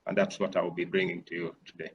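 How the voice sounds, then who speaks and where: Ugandan man, youth program manager, lowish voice, energetic. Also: quick pace, highs cut off, slight background noise. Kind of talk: self-introduction on a panel. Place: video call.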